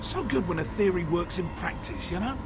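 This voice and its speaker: A man voicing an excitable, chatty, self-satisfied scholar in a video game, with an exclamatory, theatrical delivery. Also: sits high, fairly quick, over music. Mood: pleased, chatty. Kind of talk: video game character acting.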